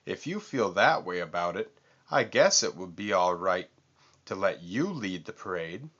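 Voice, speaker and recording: A man's voice: low voice, big pitch swings, unhurried, fairly bright tone, dull audio, full character voice. Man doing a gloomy, moaning storybook walrus. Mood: gloomy, resigned. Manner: moaning, groaning, resigned.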